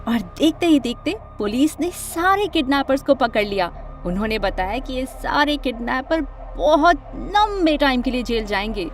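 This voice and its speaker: A woman narrating a scripted first-person animated story in a dramatic storytelling manner. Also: sits high, normal speed. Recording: music under the voice.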